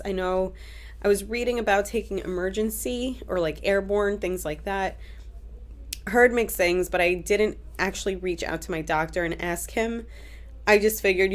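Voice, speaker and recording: A young woman talks casually to her viewers in a relaxed, chatty vlog, slipping in fillers and restarting her sentences as she goes. Her voice sits a little low for a woman, and a cold leaves it audibly stuffy and congested. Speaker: vlogger. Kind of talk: casual pregnancy update vlog.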